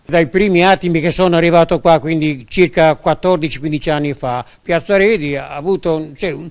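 Older shopkeeper, gravelly and halting, into a handheld mic. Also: male voice, a little high. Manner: halting, repeats words. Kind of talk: street vox pop answer. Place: small clothing shop. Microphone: handheld interview mic.

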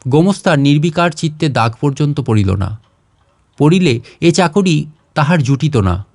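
A man's voice: on the high side, lively intonation, normal speed, gravelly, darkish tone, no room sound, clean studio sound. A man narrating a literary short story as a scripted audiobook reading.

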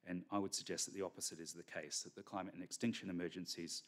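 A middle-aged man giving a keynote lecture from a podium on a conference stage, measured and full of fillers and restarts. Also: low-pitched, fairly even intonation. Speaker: keynote speaker. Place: large conference hall stage. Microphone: podium microphone.

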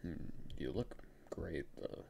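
A man playing a shy young best friend in an ASMR boyfriend roleplay, speaking softly and haltingly with stammers, in a very deep, gravelly voice. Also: very animated, a little hiss or hum, exaggerated voice. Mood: nervous, awkward. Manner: hesitant, halting, stammering, soft-spoken.

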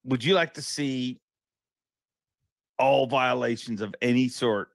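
A man's voice: medium pitch, takes it slowly, creaky, no room sound. A man in his forties interviewing casually on a livestream, speaking into a close desk microphone. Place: home office. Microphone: close desk microphone, headset.

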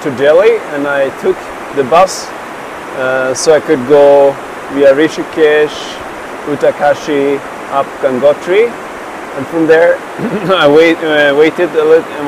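Slow halting Swedish man by a river. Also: on the high side. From Sweden. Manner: slow, halting, many fillers and restarts. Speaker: traveler and meditation practitioner. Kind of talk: casual spoken account to camera. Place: outdoors by a mountain river. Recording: rushing river noise behind.

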